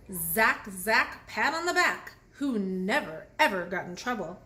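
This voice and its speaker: A woman reads a picture book to young children in a fairly high, lively voice. She takes her time, often turning to her listeners with questions and small teaching comments. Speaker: children's read-aloud host and teacher. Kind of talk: picture book read aloud with phonics asides.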